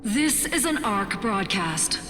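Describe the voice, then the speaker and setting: A woman playing a measured radio news broadcaster in a video game, reading formally and deliberately. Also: medium pitch, sing-song, fairly bright tone. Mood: grave, measured. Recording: echoey long reverb, music behind. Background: music.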